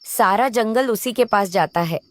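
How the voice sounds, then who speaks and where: A woman's voice: medium pitch, a little slow, slight background noise, natural voice. A woman voicing a discontented, skeptical crow wife in a children's cartoon. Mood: complaining. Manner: complaining, skeptical. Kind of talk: Hindi kids' cartoon dialogue.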